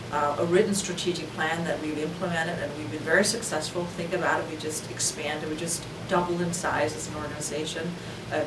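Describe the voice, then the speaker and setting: Low-voiced woman from Denmark, roomy. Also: distant mic. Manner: reflective, loose with fillers. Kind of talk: interview talk. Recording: roomy, off-mic, noisy rumble.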